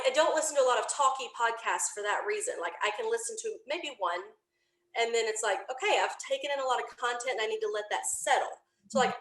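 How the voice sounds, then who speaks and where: Woman, podcast guest, fairly high voice, laughs while talking, casual chat, echoing room. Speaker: podcast guest, audio drama creator. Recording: roomy, echoing sound.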